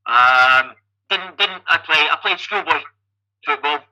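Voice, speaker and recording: A Scottish man in his fifties talks through his story as an interview guest. His voice sits a little high and sounds very bright and forward. He keeps restarting his sentences and repeating the opening word as he goes. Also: slow, rough recording. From Scotland. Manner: chatty, repeats words when starting sentences. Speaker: guest athlete and coach.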